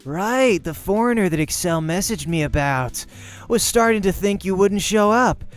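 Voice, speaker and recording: A man's voice: sits high, animated, moderate pace, soft background music, voice pushed up. A man voicing a cocky teenage boy gamer in a visual novel, with a smug, taunting, slangy manner.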